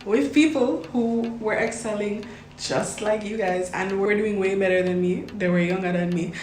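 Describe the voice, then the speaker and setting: A woman's voice: mid-range voice, normal speed, darkish tone, echoey room, a little hiss or hum. A Jamaican woman, a medical student, giving earnest, reassuring advice to first-year students and repeating points for emphasis.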